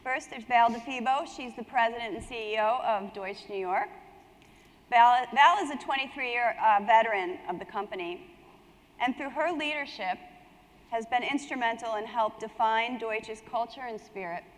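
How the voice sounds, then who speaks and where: Female panel moderator, slightly high voice, live event delivery, recorded in a big echoing hall. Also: moderate pace. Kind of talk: hosting a live panel, partly reading introductions from a script. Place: indoor conference hall on stage. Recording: echoey hall, long reverb.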